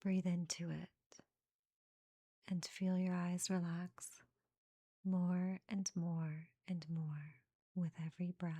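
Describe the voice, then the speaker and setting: Slow, breathy, half-whispering woman guiding meditation. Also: lowish voice, flat delivery, no room sound. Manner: soothing, gentle instructions in short phrases with pauses. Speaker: meditation guide. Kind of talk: guided meditation, soft relaxation narration.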